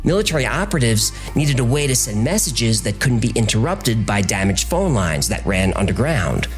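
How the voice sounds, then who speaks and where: A man delivers scripted documentary narration for a podcast. His mid-range voice moves with lively, varied intonation, and soft music plays underneath. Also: medium pitch, normal speed.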